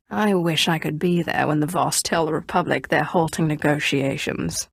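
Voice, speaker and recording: A commanding Sith sorceress from a video game, speaks in a fairly low register. She is cold and haughty, choosing her words with calculated deliberation. The sound is close and dry. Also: no echo. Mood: measured, persuasive. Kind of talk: video game character dialogue.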